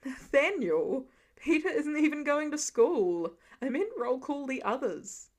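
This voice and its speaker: A woman voicing a fond, weary, gently stern father in a story reading, with a dry, affectionate manner. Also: high voice, sing-song, unhurried. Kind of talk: storybook-style character reading.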